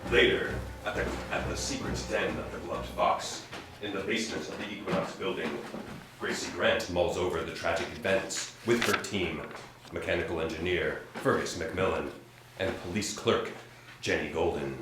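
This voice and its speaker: A man playing an old-time radio serial announcer, narrating and pitching for the sponsor. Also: steady tone, moderate pace, noisy background, lightly acted. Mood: dramatic, salesy. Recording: echoey live radio theatre. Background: music.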